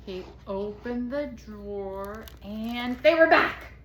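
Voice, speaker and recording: A woman reading a children's picture book aloud as a storyteller, expressively and dramatically, with pauses. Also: on the high side. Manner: dramatic, with hushed asides and pauses. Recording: echoey room with faint noise.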